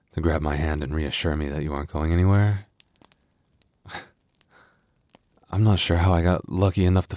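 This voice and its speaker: Very deep-voiced werewolf boyfriend played by a man. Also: darkish tone, no echo, clean studio sound, natural voice. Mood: tender, devoted. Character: young male werewolf boyfriend, tender but protective, tough edge. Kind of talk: intimate ASMR boyfriend roleplay.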